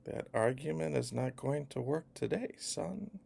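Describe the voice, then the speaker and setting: A man voicing a calm male police officer who speaks low, quiet and firm. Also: a little slow, gravelly, breathy edge, heavily acted. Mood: stern.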